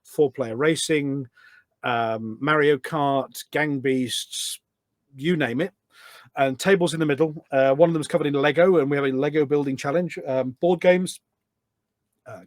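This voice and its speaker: A man's voice: medium pitch, normal speed, no echo, studio quality. A British man chatting casually and telling stories as a podcast guest, enthusiastic and emphatic, often repeating his phrases. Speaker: podcast guest, charity event organiser. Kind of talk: casual conversational storytelling.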